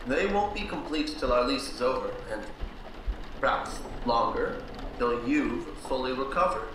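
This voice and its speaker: A man's voice: medium pitch, animated, measured pace. A man playing a patronizing, controlling physician husband in a period radio play, with a formal, cajoling, condescending manner. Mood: placating, firm. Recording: very echoey room, faint noise.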